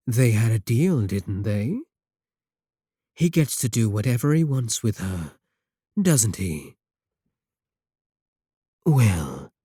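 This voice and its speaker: Casting: male actor for manipulative warlock villain in romantic audio roleplay, lowish dark voice, teasing and possessive. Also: breathy edge, no room sound, clean studio sound, heavily acted. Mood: seductive, menacing. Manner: slow, teasing, deliberate, with drawn-out phrasing.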